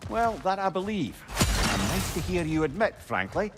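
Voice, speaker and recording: An actor playing a witty, talkative older companion sage in a video game, with a Scottish accent and a wry, frank manner. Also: male voice, high voice, very animated, normal speed, very noisy. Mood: wry, prodding. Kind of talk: video game cutscene acting. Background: game music.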